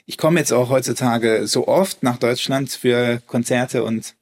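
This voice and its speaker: A man's voice: medium pitch, measured pace. A man, a German native speaker and violinist, talking as a guest in a casual interview, hesitant with many restarts and fillers.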